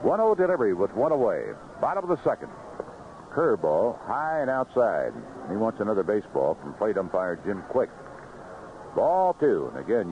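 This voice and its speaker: A man calling live baseball play-by-play in clipped, conversational phrases on a vintage radio broadcast. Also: lowish voice. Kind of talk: live play-by-play sports commentary. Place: outdoor ballpark broadcast booth. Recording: noisy muffled vintage 1970s radio broadcast.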